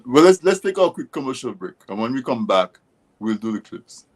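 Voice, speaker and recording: A man hosting a conversational radio interview, speaking in halting, deliberate phrases. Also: medium pitch, very animated. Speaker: interview show host.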